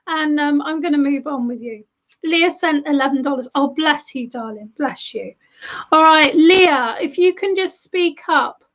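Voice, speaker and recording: A high-voiced woman reading tarot cards live for viewers, warm-natured in words, calling people darling and love. Her voice is a bit hoarse from losing it, and the stream audio sounds dull and lo-fi. She talks in loose, chatty runs with small restarts. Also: unhurried. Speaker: tarot reader.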